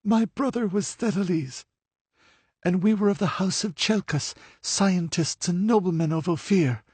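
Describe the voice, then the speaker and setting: A man voicing a desperate young noblewoman held captive, pleading in a high, strained voice near tears. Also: a little slow, a little mellow, breathy edge, dry recording. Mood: grieving.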